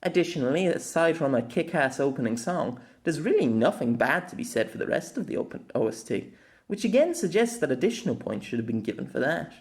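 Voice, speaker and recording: A man narrating a scripted opinion essay as host, in deliberate, formal phrasing with colloquial asides. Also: a little high, very animated. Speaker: host of an anime audio essay.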